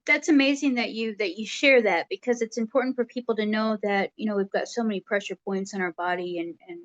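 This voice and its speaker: A woman's voice: medium pitch, fast talker, no echo, dull audio. A woman hosting an interview show, asking her guest questions in a friendly, upbeat way. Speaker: show host.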